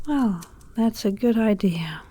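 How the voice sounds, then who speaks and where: A woman playing a seductive, menacing captor in an audio drama, speaking in a controlled, deliberate way. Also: medium pitch, lively intonation, warm tone. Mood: seductive, commanding. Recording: clear music under the voice.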